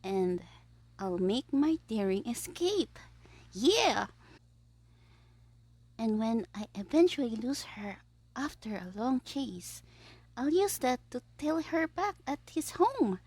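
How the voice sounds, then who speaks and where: A woman voicing a gleeful, scheming young male supervillain in an ASMR audio roleplay, theatrical and petulant, musing aloud with breathy asides. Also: fairly high pitch, sing-song, takes it slowly, pauses often, darkish tone, dry recording, heavily acted. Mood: excited, smug.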